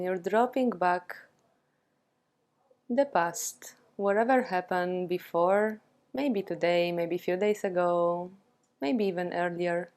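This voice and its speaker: Slow-paced woman guiding a meditation. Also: fairly low pitch. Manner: calm, soothing, with long pauses between short phrases. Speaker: yoga and meditation teacher. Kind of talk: guided meditation.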